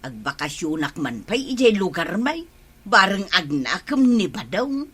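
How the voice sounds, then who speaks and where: A woman's voice: on the low side, big pitch swings, a little slow, fairly bright tone, slightly rough, heavily acted. A woman playing a fed-up, strong-willed village wife in a comedy radio drama, with an Ilocano accent. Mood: resigned.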